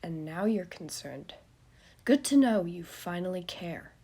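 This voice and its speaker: A woman voicing an anxious young man who spirals into panicked questions and angry shouting, her voice husky. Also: on the low side, animated, a little slow, heavily acted.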